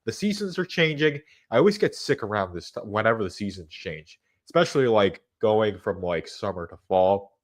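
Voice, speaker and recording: Stuffy-nosed guy with a lowish voice rambling on his stream, lots of um and uh. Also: animated, normal speed, hardly any pauses. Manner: rambling, frequent restarts and fillers. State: congested from a cold. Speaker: livestream host. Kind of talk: casual livestream chat.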